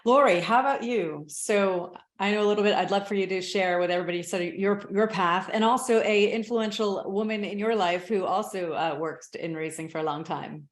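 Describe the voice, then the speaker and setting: A woman's voice: medium pitch, brisk, few pauses, reverberant. A woman hosting a panel interview, asking guests friendly questions and passing the floor to each. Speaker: panel host, moderator.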